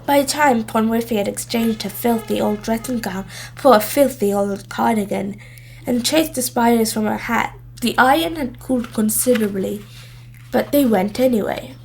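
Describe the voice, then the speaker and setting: A woman reading a children's story aloud in expressive narration. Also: a little high, moderate pace, gravelly, a little hiss or hum, rough recording. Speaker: storybook reader.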